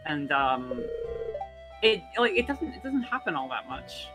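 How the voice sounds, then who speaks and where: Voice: mid-range voice, very animated, measured pace. A co-streamer talking candidly during a casual gaming chat, hesitant and full of ums. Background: game music.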